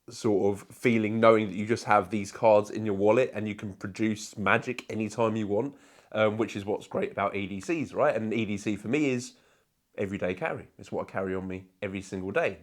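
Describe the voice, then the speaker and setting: A hoarse man, chatting upbeat to camera in a casual review vlog, with lots of fillers. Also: on the low side, brisk. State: hoarse from a cold. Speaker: magic product reviewer.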